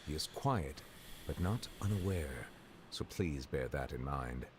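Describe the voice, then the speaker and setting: A very low-voiced, stately male judge from a video game. He is formal, reserved and deliberate, with faint noise behind him. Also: lively intonation. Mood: cautionary. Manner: formal, deliberate, courtly wording. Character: dignified, reserved male chief justice, measured and formal.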